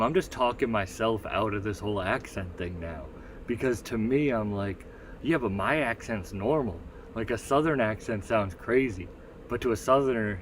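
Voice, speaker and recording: A man's voice: fairly low pitch, a little hiss or hum. A man with a Northeastern US accent rambling through a casual solo livestream, swearing freely and reading the chat aloud. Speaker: livestream host.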